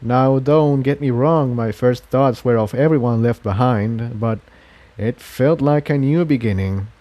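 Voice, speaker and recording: A man's voice: mid-range voice, dull audio, close to an everyday voice. A man voicing a weary, earnest engineer pony in a storybook reading, talking in a reflective, confiding way.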